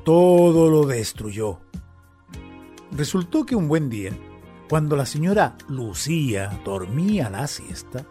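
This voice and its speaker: A man's voice: fairly high pitch, sing-song, takes it slowly, mellow, faint music behind. A man with a Chilean accent narrating a radio story in a dramatic, scripted style.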